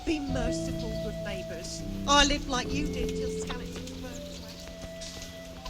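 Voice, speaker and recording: A destitute woman begging in a high, imploring voice, for a medieval game. Loud music runs beneath her. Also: unhurried. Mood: pleading. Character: pleading homeless beggar woman, burnt-out refugee. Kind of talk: video game dialogue acting.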